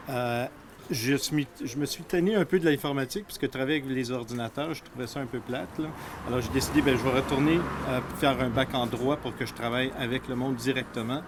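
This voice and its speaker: A man with a Canadian French accent answers at an ordinary middle pitch. He pauses and fills often as he talks. Clear traffic noise from the street runs behind him. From Ottawa, Canada. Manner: hesitant, many fillers. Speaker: political candidate. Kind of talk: interview answers.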